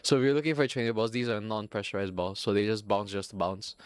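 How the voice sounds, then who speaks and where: A young man with a Filipino accent hosts his own podcast, rambling loosely from one thing to the next and swearing constantly in a self-mocking way. His voice sits a little low. The sound is dry and close, with no room around it. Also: fairly even intonation, fast, smooth, no room sound. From Singapore. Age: young adult. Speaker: podcast host. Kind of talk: casual solo podcast rambling.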